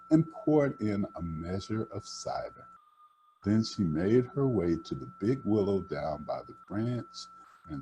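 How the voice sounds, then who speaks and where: An older man reading a children's storybook aloud, with deliberate, dramatic phrasing. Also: fairly low pitch, warm tone, a little hiss or hum, poor audio. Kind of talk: expressive read-aloud storytelling.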